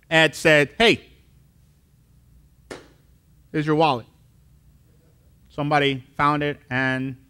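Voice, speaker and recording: A man's voice: on the high side, pushed and bright. A man in his thirties from Colombia, a pastor preaching a sermon on a church stage, telling a story animatedly with acted-out voices. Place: church stage with wood wall.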